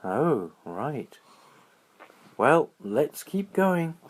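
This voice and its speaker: A man's voice: on the high side, sing-song, extremely slow, husky, voice pushed up, exaggerated voice. A man voicing a friendly, brave and loyal young-hearted adventurer in a children's bedtime story, speaking earnestly in simple exclamations.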